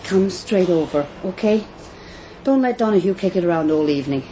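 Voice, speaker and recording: Casting: actress for self-possessed, direct woman in radio crime drama, lower register. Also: lively intonation, fairly quick, background noise, close to an everyday voice. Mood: affectionate, coaxing.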